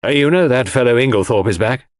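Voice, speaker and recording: A man voicing a weary, anxious upper-class country gentleman in an audiobook, polite and hesitant. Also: mid-range voice, lively intonation, fast, no echo, clean studio sound, voice pushed up, lightly acted. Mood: weary, worried. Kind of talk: audiobook character acting.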